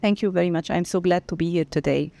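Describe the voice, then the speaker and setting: Mellow woman from Egypt. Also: fairly low pitch, fast, vocal fry. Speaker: pediatrician guest. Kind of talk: self-introduction in interview.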